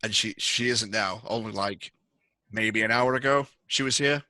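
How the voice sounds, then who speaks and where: A man's voice: lowish voice, normal speed, sharp tone, no echo. A man playing a reluctant, plain-spoken Marine survivor in a tabletop game, hesitant and informal, repeating himself. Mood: uneasy, defensive.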